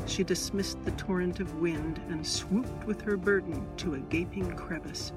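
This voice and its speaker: A woman reading a short story aloud as dramatic, literary narration with deliberate emphasis. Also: lowish voice, steady tone. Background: music under the voice.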